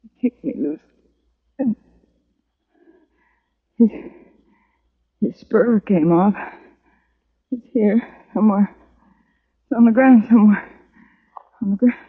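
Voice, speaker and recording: A woman's voice: mid-range voice, slow, long pauses, slightly rough. A woman playing a wounded, distraught homesteader mother in a 1950s radio Western, breathless and sobbing weakly. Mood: anguished. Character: wounded, anguished homesteader mother, barely alive. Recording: muffled, thin vintage radio recording.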